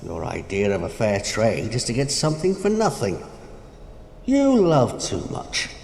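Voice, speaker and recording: Brief: Death personified for audio drama, ageing, pompous, teasing, very animated delivery, in an echoey hall. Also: male voice, lowish voice, a little slow, vocal fry, exaggerated voice. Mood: sly, mocking. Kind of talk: theatrical audio drama acting. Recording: noisy, echoey hall with long reverb.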